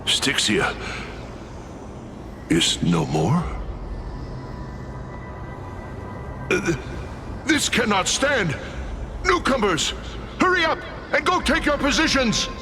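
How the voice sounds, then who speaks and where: An actor playing a dazed, desperate ghost of a soldier in a video game, speaking haltingly and formally over music. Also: male voice, extremely high pitch, huge pitch swings, background noise, exaggerated voice. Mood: stunned, indignant, then urgent command.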